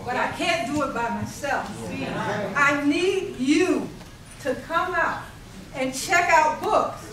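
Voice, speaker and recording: A woman's voice: sits high, slow. A woman who manages a library branch, earnestly urging a community gathering to support it. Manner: earnest, pleading, lively laughs. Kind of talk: community address. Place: community event in a library hall. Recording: echoey room from far off with crowd.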